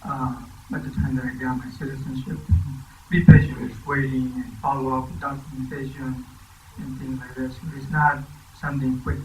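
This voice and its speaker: Flat-voiced man in an echoey hall. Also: medium pitch, moderate pace, a little hiss or hum. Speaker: symposium panelist. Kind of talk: personal testimony. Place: church hall. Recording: echoey church hall from far off.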